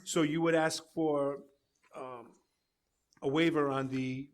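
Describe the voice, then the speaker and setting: A man's voice: on the high side, fairly even intonation, slow. A man giving a careful, procedural staff recommendation at a town meeting.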